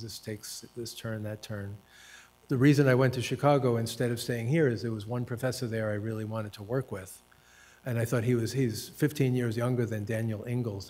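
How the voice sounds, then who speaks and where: A man in his seventies from the United States, an author and professor telling stories of his life at a panel talk, unhurried and self-deprecating, with dry humor and hesitant fillers. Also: medium pitch, darkish tone. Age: 70s. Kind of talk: reflective personal storytelling at a panel talk.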